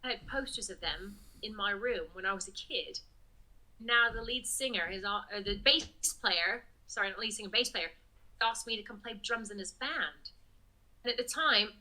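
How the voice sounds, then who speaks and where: A woman musician guest telling stories in a casual podcast chat, laughing often and clearing her throat. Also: medium pitch, moderate pace, bright, forceful tone, low-quality recording.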